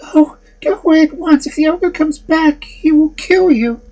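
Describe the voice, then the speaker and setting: A man voicing a gentle, anxious young captive maiden in a fairy tale, in a breathy falsetto. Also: unhurried.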